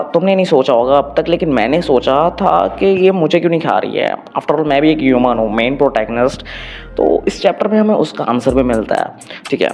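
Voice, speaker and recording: An Indian man tells a story recap in a casual, colloquial way, mixing in English phrases as he goes. His voice sits at an ordinary male pitch. Music plays underneath the voice-over. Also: darkish tone. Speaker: manga recap narrator. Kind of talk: casual first-person story recap with jokes.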